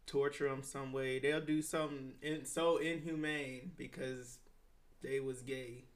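A man hosting a podcast in casual, animated chat, swearing freely and laughing often. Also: mid-range voice, unhurried. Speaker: podcast host.

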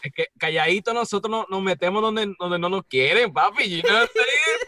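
A man with a Puerto Rican accent, a podcast guest chatting excitedly in slangy, profane Spanglish. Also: sits high, fast talker, no echo, studio quality. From Puerto Rico. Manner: excited, slangy, profane, switches between Spanish and English. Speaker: podcast guest, designer toy artist. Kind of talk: casual chat.

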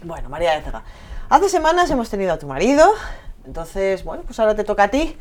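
A woman hosting an interview, asking friendly, brisk questions while she has a cold. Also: mid-range voice, very animated, soft background music. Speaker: host.

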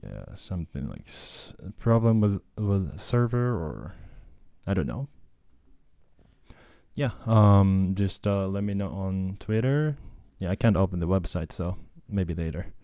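A Japanese man talks alone in a relaxed, meandering way, stopping and restarting his sentences often. His voice sits very low and sounds soft and mellow. The recording is close and dry, with no room sound. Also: measured pace, no room sound. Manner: rambling, hesitant, many fillers and restarts. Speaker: podcast host and language tutor. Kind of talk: casual solo talk.

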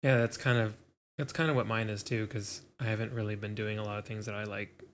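A man's voice: on the low side, quick pace. Young man, podcast co-host, laid-back chat, filler words, faint hiss behind. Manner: relaxed, laid-back, many fillers and chuckles. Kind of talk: casual chat.

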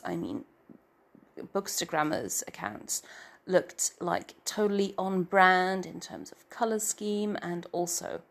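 A woman's voice: fairly low pitch, creaky. A middle-aged woman with a nasal, stuffy-nosed voice gives a rambling, self-deprecating personal update as a writer and story narrator. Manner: meandering and self-deprecating, trailing off into filler.

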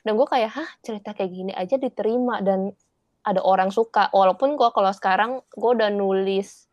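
A woman's voice: medium pitch, a little fast, a little mellow, no room sound, muffled recording. A young woman from Indonesia, chatting casually as a podcast guest, laughing often and mixing English words into her talk.

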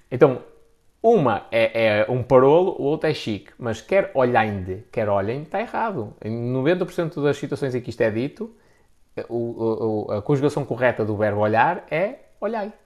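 A man's voice: mid-range voice, big pitch swings, normal speed, darkish tone. A man with a European Portuguese accent giving informal, opinionated advice in a blunt, slangy, emphatic way, often repeating himself for emphasis. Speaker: content creator giving business advice. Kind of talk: informal opinionated talk to an audience.

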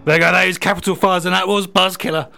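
A man's voice: high voice, level tone, brisk, creaky. A man presenting a music radio show in a casual, enthusiastic, effusive way between songs.

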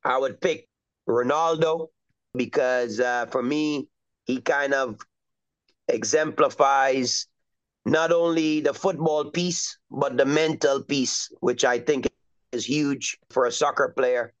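A middle-aged man from Trinidad and Tobago, a soccer coach talking as a guest in a relaxed, rambling way with lots of fillers. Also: mid-range voice, slow pace. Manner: easygoing, rambling with many fillers. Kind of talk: casual interview chat. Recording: dull lo-fi recording.

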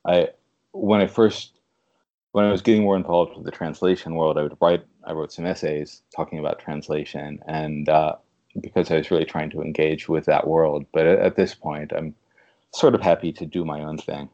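A man speaking as a literary translator in a conversational interview, thoughtful and halting in a measured way. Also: rumbling low, steady tone, warm tone, highs cut off. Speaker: podcast guest, literary translator.

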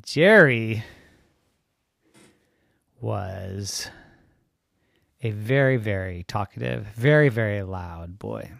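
A man's voice: lowish voice, vocal fry, no echo. A man telling a made-up bedtime story, his voice rising and falling a great deal as he stretches out the key words. The sound is close and dry, with no room around it. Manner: expressive, drawn-out emphasis.

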